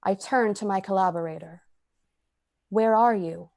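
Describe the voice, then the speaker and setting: An actress playing a cold, confident rich woman near death, narrating her experiment formally and deliberately in old-time radio style. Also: mid-range voice, gravelly, a little mellow, no echo. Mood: commanding.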